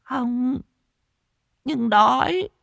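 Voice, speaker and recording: Skinny simple-minded beggar girl, male narrator acting her, childlike, pleading in few words, pitched far up. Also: lively intonation, drawn-out words, vocal fry, a bit bright, no echo, clean studio sound, exaggerated voice. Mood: meek pleading.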